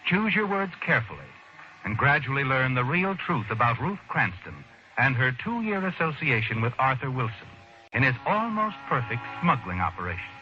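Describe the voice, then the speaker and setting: An actor as the narrator of an old radio thriller, addressing the heroine in insinuating, dramatic, deliberate phrases. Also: male voice, medium pitch, natural voice. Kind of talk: 1940s radio drama narration in second person. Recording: dull old radio recording, loud music behind. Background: dramatic music.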